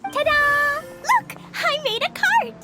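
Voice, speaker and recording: Very high-pitched bubbly little girl. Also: lively intonation, takes it slowly, full character voice. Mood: proud, gleeful. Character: bubbly little girl mage, eager and playful. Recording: loud background game music.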